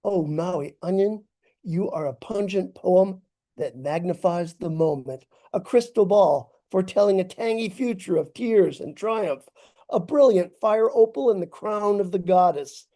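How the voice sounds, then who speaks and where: A man reading his own poem aloud on a video call, in a slow, deliberate and declamatory way. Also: high voice, expressive, warm tone. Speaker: poet reading his work. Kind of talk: dramatic poetry reading.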